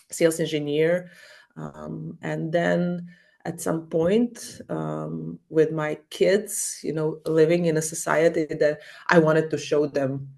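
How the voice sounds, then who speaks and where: A woman from Croatia speaking as a podcast guest, animated and full of fillers and restarts. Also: low voice, gravelly. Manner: animated, halting non-native English with many fillers. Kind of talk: casual interview.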